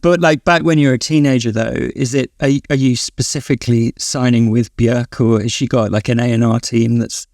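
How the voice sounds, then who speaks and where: A British man with a creaky, medium-pitched voice, recorded very dry. He hosts an interview in a casual, conversational style, putting questions to his guest. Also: fairly even intonation, a little fast, hardly any pauses, a little mellow, no room sound. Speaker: podcast host, interviewer.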